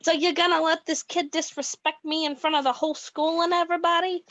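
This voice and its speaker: A woman's voice: very high voice, flat delivery, brisk, fairly bright tone, muffled recording. A woman playing a petulant schoolboy son of a mobster in an amateur old-time radio comedy. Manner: petulant, childish complaining.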